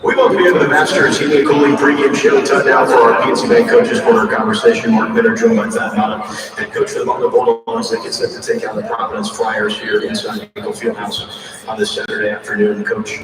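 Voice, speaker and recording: A man's voice: medium pitch, brisk. A man hosting a sports pregame radio show, interviewing and giving commentary. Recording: echoey arena PA sound, far off, crowd noise. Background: crowd in arena.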